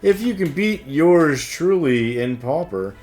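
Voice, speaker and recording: A man's voice: a little high, lively intonation, slow pace, darkish tone. A man co-hosting a shop news show, mixing scripted announcements with casual banter. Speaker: co-host of a store news show, announcing events.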